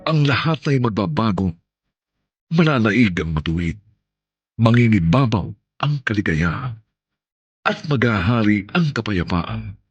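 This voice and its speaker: A man's voice: fairly low pitch, big pitch swings, measured pace, long pauses, husky, highs cut off. A Filipino man hosting a radio drama with solemn, theatrical scripted narration. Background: soft music.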